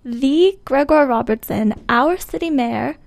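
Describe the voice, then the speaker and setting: Female correspondent, high pitch, dry sound, emphatic mock-serious reporting. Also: unhurried, creaky, no echo. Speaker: city hall correspondent.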